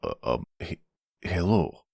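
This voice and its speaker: A man playing a repentant young crime heir in a roleplay audio drama, hesitant, halting and soft-spoken. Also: low voice, huge pitch swings, vocal fry, dry recording, clean studio sound, full character voice. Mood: nervous, pleading.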